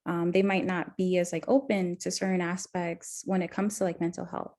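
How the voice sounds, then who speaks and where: A woman's voice: fairly low pitch, flat delivery, moderate pace, darkish tone. A woman in her twenties acting as counselor in a practice intake session, talking hesitantly with many fillers and restarts. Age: 20s. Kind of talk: mock counseling intake conversation.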